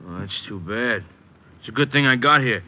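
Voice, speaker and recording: A man's voice: medium pitch, expressive, normal speed, natural voice. Man playing a big, blunt, loyal radio tough guy. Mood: subdued, concerned. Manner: plain, blunt, slangy speech. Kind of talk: old-time radio drama acting. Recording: muffled old radio recording with music.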